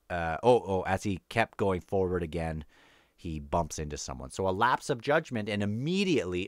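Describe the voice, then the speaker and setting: A man giving casual read-along book commentary, halting and thinking aloud as he moves between quotes and his own remarks. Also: low voice, no echo, clean studio sound. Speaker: book discussion host.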